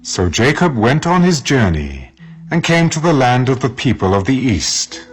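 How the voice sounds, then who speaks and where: A man narrating scripture from a script in a measured, dramatic storytelling manner. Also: medium pitch, very animated, faint music behind.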